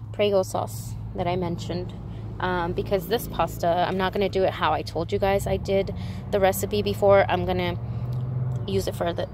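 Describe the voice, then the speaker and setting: A woman with a congested, slightly nasal voice, chatting casually through a product haul with rambling asides and self-corrections. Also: mid-range voice. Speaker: haul vlogger. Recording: noisy background.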